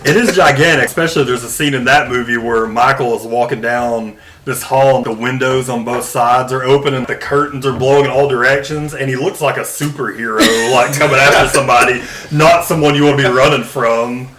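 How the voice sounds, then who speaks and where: A male podcast panelist with a voice of average pitch. He speaks casually, with animated, rambling explanations in a relaxed film discussion. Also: fairly quick, few pauses, low-quality recording.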